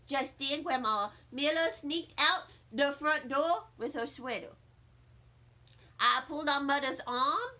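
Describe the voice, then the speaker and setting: A woman reading a children's book aloud as a teacher would, in an expressive storytelling style. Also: high-pitched, slow.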